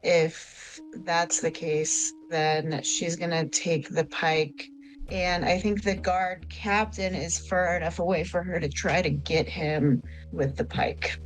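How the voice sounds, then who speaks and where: A woman's voice: on the low side, steady tone, slow, poor audio. A woman playing a tabletop game, casually improvising and explaining her character's actions and feelings. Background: background music.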